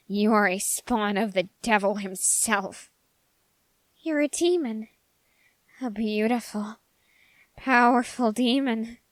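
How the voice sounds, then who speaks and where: A woman voicing a dark, obsessive young man in an ASMR roleplay, speaking formally in deliberate, halting phrases that can slip toward a whisper. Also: fairly high pitch, dry recording. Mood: indignant, insistent. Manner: silky, formal menace, slipping into whispers.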